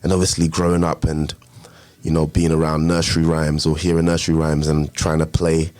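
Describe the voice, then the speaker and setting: Deep-voiced London man, close dry sound. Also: monotone, a little fast, darkish tone, no echo. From London, England. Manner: slangy, informal. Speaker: podcast guest, music producer. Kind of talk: casual interview chat.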